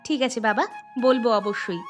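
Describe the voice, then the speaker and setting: A woman's voice: a little high, animated, a little fast, faint music behind, lightly acted. A woman playing a dutiful, affectionate young village daughter-in-law in an audio drama. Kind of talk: Bengali audio story drama acting.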